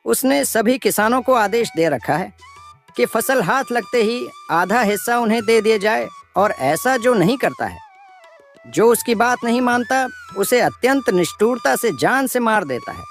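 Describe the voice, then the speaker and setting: The fearful headman at a cartoon village meeting, laying out the troubles over soft background music played by a man. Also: very high voice, lively intonation, measured pace, full character voice. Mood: anxious, grave. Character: anxious village headman reporting a bandit's cruelty. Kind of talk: animated moral story dialogue.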